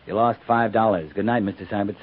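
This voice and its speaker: A man's voice: on the low side, steady tone, close to an everyday voice. An American man acting a laconic, dryly teasing, weary cavalry captain in a 1950s radio drama, speaking tersely and without hurry. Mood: dry, tired. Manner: terse, dry, unhurried. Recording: muffled, noisy 1950s radio recording.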